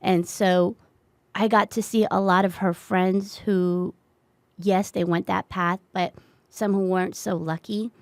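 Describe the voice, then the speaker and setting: A woman's voice: mid-range voice, steady tone, a little slow, dry recording. A Philippines-born woman whose first language is Tagalog, earnestly telling a personal story in a conversational interview.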